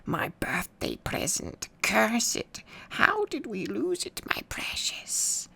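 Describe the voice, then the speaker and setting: A wretched, obsessive cave creature mutters to itself in a storybook tale. The voice is a raspy, breathy whisper, thick with creak and hiss. It drags its words out slowly, repeating them in broken grammar. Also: male voice, sits high, sing-song, bright, forceful tone, pitched above the performer's own voice, exaggerated voice. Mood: bitter, grieving. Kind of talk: acted audiobook reading.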